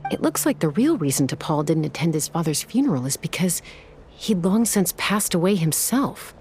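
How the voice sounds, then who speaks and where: An actress voicing a sharp, level-headed young heroine in a video game who talks in a measured, reasoning way. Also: female voice, on the low side, very animated, slight background noise. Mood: deductive. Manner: measured, deductive.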